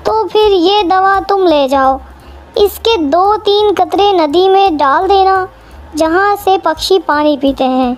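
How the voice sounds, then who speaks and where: A woman's voice: very high-pitched, slow pace, soft background music. A woman voicing a sly, wicked male crow in a children's cartoon, conniving and familiar. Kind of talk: Hindi children's cartoon acting.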